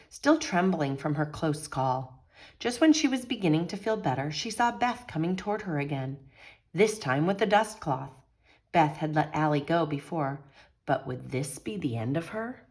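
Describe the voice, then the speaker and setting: A woman storyteller with a low-pitched voice. She reads a children's picture book aloud expressively, in a teacherly manner. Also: expressive, moderate pace.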